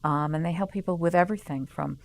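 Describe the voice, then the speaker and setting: A woman on staff at a health center, explaining its services in a measured way with frequent pauses, over soft music. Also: low voice, gravelly. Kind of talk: promotional interview.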